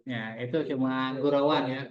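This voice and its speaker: A man's voice: mid-range voice, steady tone, normal speed, crowd noise. A man teaching Arabic in a classroom, chatty and repetitive, quizzing his students as he goes. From Indonesia. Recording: echoey room, dull lo-fi sound.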